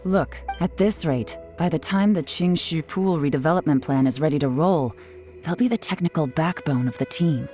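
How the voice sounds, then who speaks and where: Woman voicing shrewd game detective lady, deep smooth-dark voice, calm n knowing, bit of music behind. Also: lightly acted. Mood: cool, analytical. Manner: measured, knowing, sly deductive delivery. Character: shrewd, composed adult female investigator, coolly amused. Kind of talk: video game voice acting.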